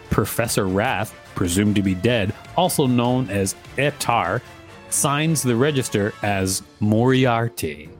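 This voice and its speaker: A man co-hosting a podcast, reading an article aloud and chatting casually. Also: medium pitch. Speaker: podcast co-host.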